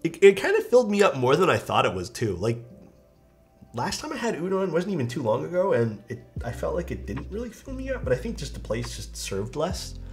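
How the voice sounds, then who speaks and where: A man's voice: on the high side, wildly swooping pitch, brisk, soft background music. A young man with a stuffed-up, nasal voice, chatting casually on a live stream while he builds a keyboard and reads out chat. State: stuffed-up nose.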